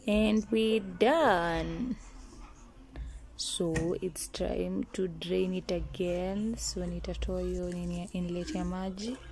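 A woman's voice: fairly low pitch, fairly even intonation, takes it slowly, a little mellow, rough recording. A woman with a Kenyan accent chatting casually and playfully as she narrates a chore, switching languages mid-sentence. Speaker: vlogger. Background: clicking and water sounds.

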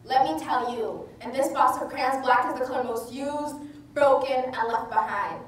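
High-voiced young woman poet, very echoey. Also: moderate pace. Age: young adult. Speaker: slam poet. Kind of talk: spoken word poetry performance. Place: indoor stage in front of a projection screen. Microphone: far camera. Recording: very echoey room, distant mic, background noise.

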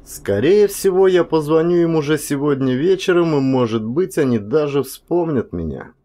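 Man narrating an audiobook with lively pitch swings. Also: normal speed. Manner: expressive, acted-out storytelling. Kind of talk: first-person dramatic audiobook narration.

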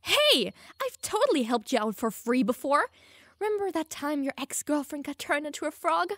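A woman's voice: high voice, very animated, normal speed, fairly bright tone, no echo, clean studio sound. A young woman playing a teasing, smug, secretly lovestruck elf mage in an audio roleplay, playful and easily flustered. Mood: playful, defensive. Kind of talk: audio roleplay addressed to the listener.

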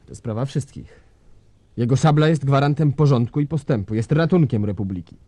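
A man's voice: mid-range voice, animated, brisk, dark tone, dry recording, natural voice. A man acting a pompous, authoritarian head of state in a satirical radio drama, self-important and foolish. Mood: solemn, commanding.

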